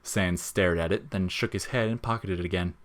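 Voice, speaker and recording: A man narrates a piece of scripted fiction. His voice sits on the low side. He reads as a storyteller, telling the scene in prose. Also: steady tone, brisk.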